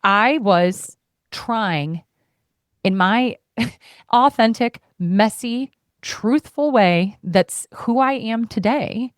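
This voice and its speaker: A woman's voice: fairly high pitch, extremely slow, dry recording, clean studio sound. A woman in her thirties hosting a podcast, chatting casually and passionately, playful, putting on voices when she quotes her family. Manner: passionate and expressive, heart on sleeve. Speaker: podcast host. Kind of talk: casual conversation.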